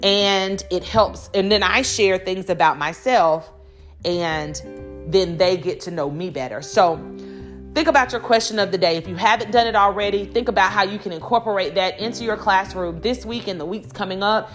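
A woman's voice: medium pitch, normal speed, soft background music. An American woman hosting a podcast in a conversational, reflective way, speaking directly to listeners. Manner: reflective, addresses listeners directly with rhetorical questions. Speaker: podcast host and school instructional coach. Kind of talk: conversational solo podcast monologue.

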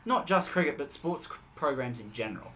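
A teenage boy still in school, chatting casually as a podcast co-host, agreeable and halting with many fillers. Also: medium pitch, lively intonation, fairly bright tone, slight background noise. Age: teens. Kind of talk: casual chat.

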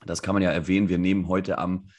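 Hoarse, low-voiced man with a cold. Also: flat delivery, fast. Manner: joking, laid-back banter. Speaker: podcast co-host. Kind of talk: casual chat.

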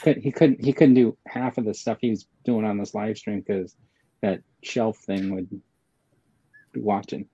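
A man with a husky, mellow voice teases and pushes his point while chatting with friends. His mic sounds dry and a bit dull. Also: on the low side, steady tone, a little slow, no room sound. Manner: teasing, insistent. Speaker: live stream co-host. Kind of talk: casual group chat.

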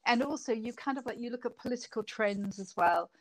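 A woman's voice: on the high side, a little fast, poor audio. A middle-aged British woman, a former lawmaker, talking as an interview guest in a chatty, rambling way and laughing easily. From Britain. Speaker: guest, former member of parliament. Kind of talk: casual interview chat.

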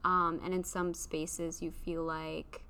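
A woman's voice: lowish voice, flat delivery, slow pace, pushed and bright, clear, resonant tone. A young woman from Canada, a podcast guest, talking openly and reflectively in a casual interview, laughing easily.

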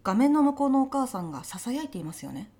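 A woman's voice: a little high, sing-song, fast talker, warm tone. A woman playing a polite but easily exasperated veteran job interviewer in an audio comedy sketch, speaking formal business Japanese. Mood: stern, suspicious.

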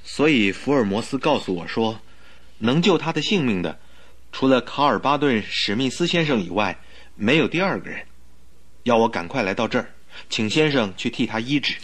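A loyal, slightly anxious doctor in a radio play. He has a medium-pitched voice and speaks with humble, earnest courtesy. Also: animated, moderate pace, a bit bright. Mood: humble, pleading. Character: polite, loyal male doctor, anxious and deferential. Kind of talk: Mandarin radio drama acting.

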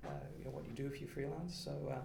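A man's voice: fairly high pitch, steady tone, rapid-fire, creaky, dark tone, reverberant, a little hiss or hum. A man hosting a panel discussion, speaking loosely with many fillers. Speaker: host, discussion facilitator. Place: meeting room.

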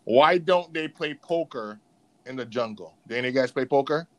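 A man hosting a podcast in casual banter, playful, animated and slangy as he interviews a guest. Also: mid-range voice, normal speed. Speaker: podcast host.

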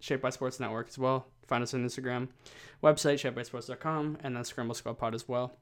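A man's voice: mid-range voice, fairly even intonation, a little fast. A man co-hosting a podcast, chatting casually and joking at his own expense. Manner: joking, self-deprecating, often restarts. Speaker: podcast co-host. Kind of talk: casual chat.

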